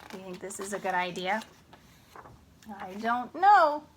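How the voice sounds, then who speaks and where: Animated, slow-paced woman reading a storybook. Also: medium pitch, slight background noise. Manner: expressive, unhurried, exclaims in asides. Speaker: storyteller reading a children's book aloud. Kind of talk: animated read-aloud with chatty asides.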